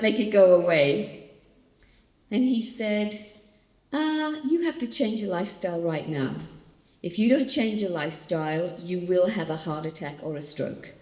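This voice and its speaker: Older woman pastor in an echoey hall. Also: medium pitch. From Australia. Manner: animated storytelling. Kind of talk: church talk on stress. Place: large hall. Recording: echoey hall, long reverb.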